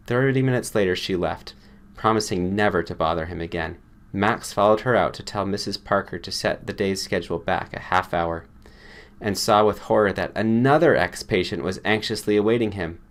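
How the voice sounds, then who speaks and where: A man reading a short story aloud as an audiobook narrator, in a scripted storytelling style. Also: low voice.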